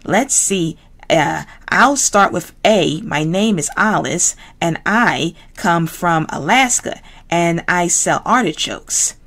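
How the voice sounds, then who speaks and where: Woman reading as a cheerful storybook girl, unhurried, sing-song. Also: lowish voice, expressive, a bit bright, exaggerated voice.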